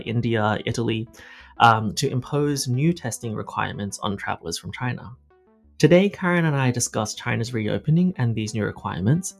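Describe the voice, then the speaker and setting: A man with an Australian accent speaking as a podcast host, presenting and interviewing on a policy show. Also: medium pitch. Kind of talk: scripted podcast introduction and interview.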